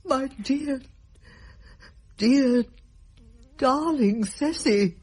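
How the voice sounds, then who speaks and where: An actress playing a devoted, protective woman caring for her dying companion, husky, in a radio drama. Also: high-pitched, very slow, a little mellow, exaggerated voice. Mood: anxious, grieving.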